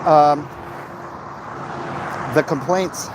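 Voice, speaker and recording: A man chatting casually and giving opinions in a halting way, as if hosting a livestream. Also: a little high, steady tone, noisy background. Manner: halting, frequent throat clearing. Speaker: livestream host answering viewer comments.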